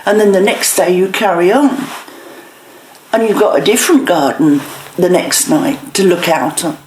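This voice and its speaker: A woman giving plain-spoken explanatory answers in an interview, in short, clear statements. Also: fairly low pitch, normal speed, darkish tone. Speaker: canal historian interviewee. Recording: echoey room with background noise.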